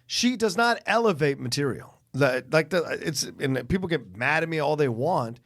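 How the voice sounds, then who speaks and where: A man's voice: fairly high pitch, animated, moderate pace, a bit bright. A man co-hosting a podcast, giving blunt, emphatic, sweary opinions in casual film chat. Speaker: podcast co-host.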